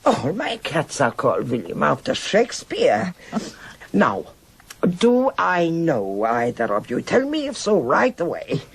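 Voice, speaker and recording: Radio drama: imperious eccentric old countess, German accent, lowish voice, wide swooping intonation. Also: female voice, huge pitch swings, unhurried, husky, exaggerated voice. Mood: blunt, eccentric. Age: older. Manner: blunt, grumbling, rambling.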